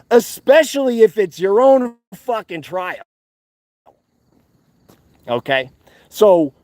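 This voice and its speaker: A man giving a defiant, profane monologue to his viewers, loud and emphatic, repeating his points. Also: high-pitched, dry recording. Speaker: activist channel host.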